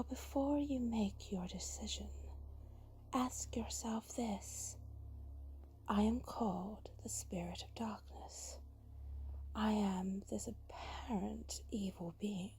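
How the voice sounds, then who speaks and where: A woman playing a cunning, vengeful female spirit of darkness in an ASMR roleplay, speaking in a breathy, taunting, deliberate and persuasive way. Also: medium pitch, dark tone, a little hiss or hum, natural voice, low-quality recording. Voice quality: breathy whisper. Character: cunning vengeful female dark spirit, seductive punisher of the guilty.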